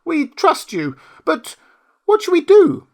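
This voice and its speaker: A squeaky little mouse queen. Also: huge pitch swings, slow pace, heavily acted. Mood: anxious. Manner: indignant, dainty. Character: tiny squeaky mouse queen, proud and regal.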